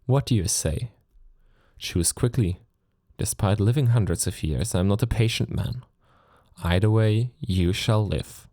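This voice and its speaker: An ancient vampire lord, acted by a man, speaks very low and close in a dry, intimate space. He is sadistic and possessive, lacing his commands with courtly endearments. The delivery is theatrical ASMR roleplay, taunting and silkily menacing, with a creaky edge. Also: a little slow, dark tone, dry recording. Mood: silkily persuasive. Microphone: close studio mic, dry.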